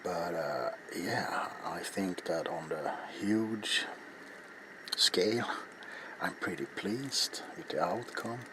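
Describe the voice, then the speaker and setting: A middle-aged man hosts an ASMR craft video in a low, hushed voice. He talks very slowly and haltingly, often dropping to a whisper. Clear background noise sits behind him in a small indoor room. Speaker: ASMR video maker and host. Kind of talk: ASMR, softly spoken craft demonstration to viewers. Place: small cluttered indoor workshop.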